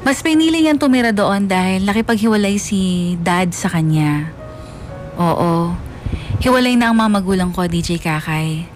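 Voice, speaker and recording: A woman's voice: medium pitch, normal speed, pauses often, faint music behind, lightly acted. A woman voicing a grown son reflectively narrating a life story in a scripted radio drama, sentimental in manner. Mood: hurt, wistful. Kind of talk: scripted first-person narration.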